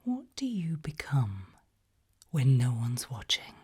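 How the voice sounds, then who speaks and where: A British woman playing an eerie, probing researcher of human behaviour in a whispered ASMR roleplay, slow, deliberate and softly menacing. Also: a bass voice, huge pitch swings, full character voice. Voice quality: breathy whisper.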